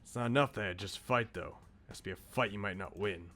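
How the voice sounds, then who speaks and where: A man's voice: lowish voice, fast, bright, forceful tone, faint music behind. A man voicing a brash, insecure but loyal eighteen-year-old slacker in a visual novel, with blunt, casual slang. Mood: reflective. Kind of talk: visual novel character read aloud.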